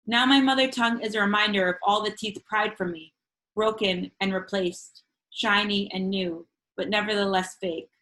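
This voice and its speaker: A woman whose first language is Spanish, reading her own poems in a measured, earnest way over a video call from home, with casual asides between pieces. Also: mid-range voice, fairly even intonation, pushed and bright, rough recording. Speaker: featured poet. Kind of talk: reading her own poetry at an online open mic.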